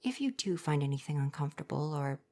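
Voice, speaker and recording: A man playing a gentle, coy and flirty forest spirit in an ASMR roleplay, speaking softly, at times breathily, in a formal, reassuring way. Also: on the high side, big pitch swings, fast. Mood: tender, coy.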